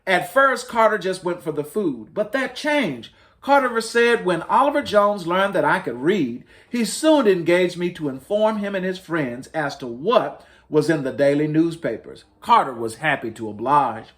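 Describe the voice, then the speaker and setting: Man reading a children's story, very animated intonation. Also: high voice. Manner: deliberate, emphatic storytelling with dramatic rises and falls. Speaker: host reading stories to children.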